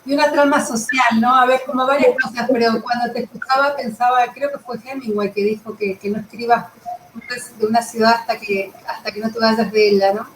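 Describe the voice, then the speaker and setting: A woman's voice: a little high, fairly even intonation, brisk. A woman conducting a conversational literary interview, phrasing her thoughts in a reflective, tentative way. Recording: roomy echo with clear background noise.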